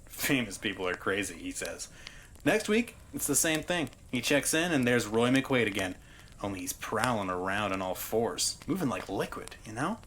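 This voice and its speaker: An actor playing an animated storyteller in an audio drama, relaying a cousin's tall tale and acting out the shouts. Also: mid-range voice. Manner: animated, acts out quoted shouts. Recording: noticeable background noise and ambience.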